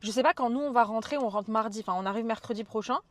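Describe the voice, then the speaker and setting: A young woman chatting casually to live viewers in a loud, expressive, slangy way. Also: a little high, quick pace, no echo. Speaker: streamer, travel vlogger.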